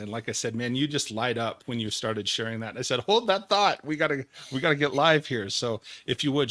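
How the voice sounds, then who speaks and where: A man hosting an interview podcast, enthusiastic and energetic, often repeating words as he talks. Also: medium pitch, no echo. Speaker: podcast host.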